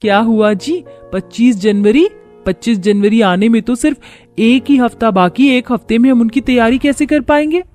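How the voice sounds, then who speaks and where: Woman acting young Indian-story daughter-in-law, eager, competitive, raised pitch, light music. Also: moderate pace, warm tone, natural voice. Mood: worried. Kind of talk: animated story dialogue.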